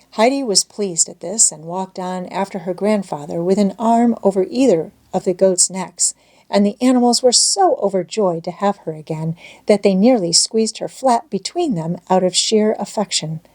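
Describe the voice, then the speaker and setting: A woman's voice: mid-range voice, moderate pace, darkish tone. A woman reading a children's storybook aloud as scripted audiobook narration.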